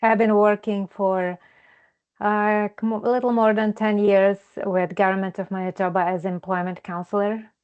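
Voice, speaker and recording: A woman from Ukraine introducing herself to a group over a video call, halting and searching for words. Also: medium pitch, level tone, a little mellow, clear, resonant tone. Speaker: counseling student. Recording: dull lo-fi video call audio.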